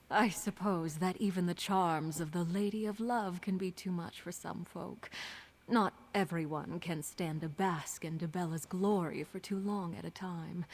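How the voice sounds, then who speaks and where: A woman's voice: on the low side, darkish tone, husky. A woman voicing a courteous, wry priestess of a love goddess in a video game, serene and slightly arch. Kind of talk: video game character acting.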